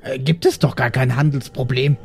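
A man playing a dismissive, pragmatic adventurer with a gravelly, husky voice in an improvised audio play. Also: medium pitch, fairly even intonation, moderate pace, darkish tone, soft background music. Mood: dismissive, sceptical.

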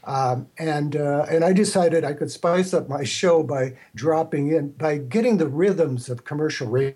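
An older man from the United States, an interview guest, reminiscing casually in a halting, meandering way. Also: a little high, dark tone, slightly rough. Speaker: interview guest, radio producer.

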